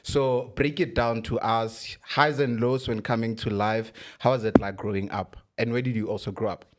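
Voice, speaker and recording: Man, podcast host, upbeat, hyped, mid pitch. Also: fairly even intonation, normal speed. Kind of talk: casual podcast chat.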